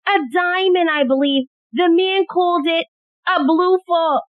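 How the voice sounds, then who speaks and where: A woman's voice: very high-pitched, measured pace, dry recording. A woman acting in an audio play as an anxious, eager police secretary bringing urgent news. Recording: thin phone-like audio, very dry.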